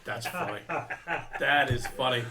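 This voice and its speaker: A man's voice: mid-range voice, a little slow, a loud crowd. A man with an American accent hosting a casual interview, explaining at length and circling back to his point. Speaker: podcast host.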